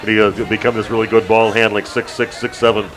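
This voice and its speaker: Man, low-pitched sports radio host; casual, hesitant delivery full of fillers. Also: flat delivery, music in the background, rough recording. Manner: halting, many fillers, joking. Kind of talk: casual interview chat.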